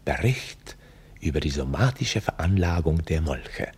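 An actor playing a chronicler in a radio drama, explaining things formally like a documentary reporter. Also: male voice, a bass voice, expressive, unhurried, darkish tone, breathy edge, heavily acted. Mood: explanatory, formal. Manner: explanatory, formal, theatrically varied delivery. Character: male chronicler presenting a mock documentary report. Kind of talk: scripted radio drama narration.

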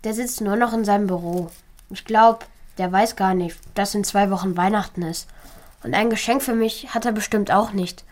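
A woman's voice: medium pitch, moderate pace, a little mellow, natural voice. A woman playing an eager, thoughtful, kind-hearted schoolchild who acts and narrates in a children's radio play. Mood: secretive, determined.

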